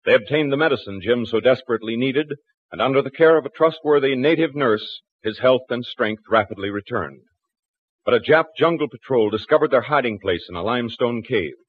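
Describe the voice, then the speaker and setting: A man's voice: medium pitch, animated, fairly quick, breathy edge, narrow, tinny audio. A man narrating and announcing an old 1940s radio adventure serial in scripted, dramatic broadcast style.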